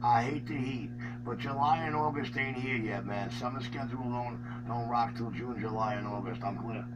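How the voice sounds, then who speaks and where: Male New Yorker, mid pitch, needling radio banter with repeated jabs, clearly noisy transmission. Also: fairly even intonation, moderate pace, distant mic. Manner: mocking, repetitive, profane street talk. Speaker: radio operator chatting on an open channel. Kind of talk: casual argumentative banter.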